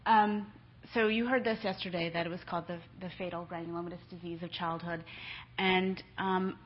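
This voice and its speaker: A woman's voice: lowish voice, steady tone, a little fast. Woman nurse, middle-aged, conversational talk, frequent ums, muffled lo-fi sound, faint hiss. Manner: conversational, many filler ums. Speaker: nurse speaker. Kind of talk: conference talk to families. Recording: dull lo-fi lecture recording.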